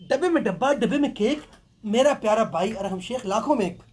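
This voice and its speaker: A hoarse man hosting a live stream, chatting casually and greeting viewers by name as he reads their comments. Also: high-pitched, lively intonation, a little fast, darkish tone. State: hoarse from a sore throat.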